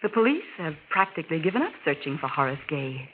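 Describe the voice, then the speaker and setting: An actress playing a scheming, coaxing wife in a melodramatic 1940s radio style. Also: female voice, sits low, big pitch swings, fast talker. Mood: sly, smug. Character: scheming, coaxing adulterous wife, breathlessly devoted to her lover. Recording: tinny, hissy 1940s radio recording.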